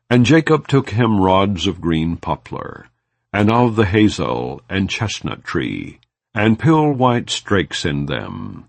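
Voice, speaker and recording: A man with a deep, low voice reads scripture in a solemn, measured way. His delivery moves widely up and down in pitch. The sound is dry and close, with no room around it. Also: dry recording. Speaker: Bible reader. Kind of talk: scripture reading.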